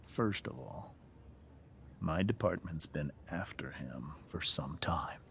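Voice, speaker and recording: A man playing a grave, weary middle-aged father in a video game, measured and solemn. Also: low-pitched, expressive, vocal fry, a bit bright, slight background noise, heavily acted. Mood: grave, grateful. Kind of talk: naturalistic video game acting.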